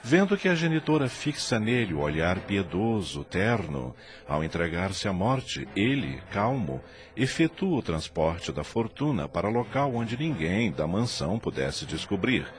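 A man narrating a radio drama in an expressive, dramatic way. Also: fairly low pitch, a little slow, few pauses.

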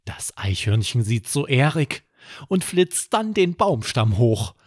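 A man narrating a scripted children's bedtime story as podcast host, with expressive, dramatic delivery. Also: medium pitch, takes it slowly, dry recording, studio quality.

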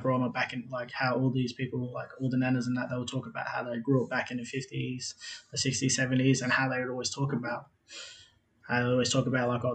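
A man's voice: medium pitch, low-quality recording. A man in his twenties with an Australian accent, telling his life story as a podcast guest in a loose, rambling way, with lots of fillers and frequent laughs. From South Australia. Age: 20s. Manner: rambling, repeats himself, many "like" fillers, laughs often. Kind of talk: casual interview storytelling.

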